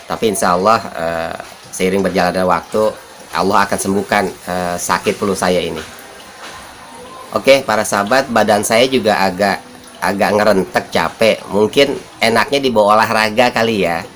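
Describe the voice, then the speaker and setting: A man hosting a casual vlog, his voice hoarse and nasal from the flu as he talks to his viewers. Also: on the low side, normal speed, a bit bright, slight background noise. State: congested with flu. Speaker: vlog host.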